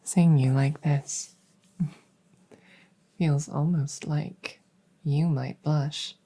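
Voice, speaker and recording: A woman playing a devoted, doting vampire maid in a soft-spoken ASMR roleplay, gentle and deferential, often slipping into a whisper. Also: sits low, steady tone, full character voice. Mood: tender, wistful. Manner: gentle, unhurried, deferential, formal.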